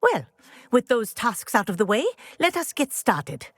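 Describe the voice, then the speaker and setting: Encouraging, husky-voiced wizard-school teacher. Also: female voice, fairly high pitch, sing-song, dry recording. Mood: calm instruction. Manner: measured, encouraging, instructive. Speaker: flying professor. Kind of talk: video game voice acting.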